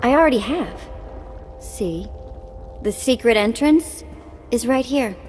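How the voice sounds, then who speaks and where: A woman's voice: fairly high pitch, expressive, takes it slowly, a little mellow, soft background music. An actress playing a sassy, sarcastic young alien woman in a video game, laid-back and unimpressed. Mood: wry, sarcastic.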